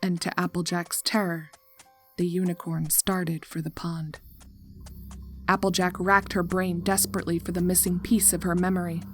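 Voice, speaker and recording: A woman narrator reading a scripted horror story in a measured, dramatic way. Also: fairly low pitch, level tone, soft background music.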